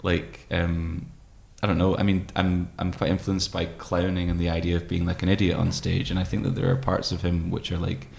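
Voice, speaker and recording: Low creaky guy, thinking out loud in an interview, kinda halting. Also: monotone. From Scottish Highlands. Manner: hesitant, thoughtful, halting. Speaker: guest, poet and musician. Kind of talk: reflective conversational interview. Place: room with tall windows, indoors. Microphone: microphone on desk stand.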